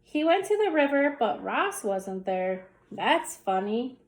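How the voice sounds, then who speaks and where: A woman with a high voice reads a children's bedtime story aloud. She takes her time, speaking slowly and deliberately, and gives the action words theatrical emphasis. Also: very animated. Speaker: storyteller reading a children's book.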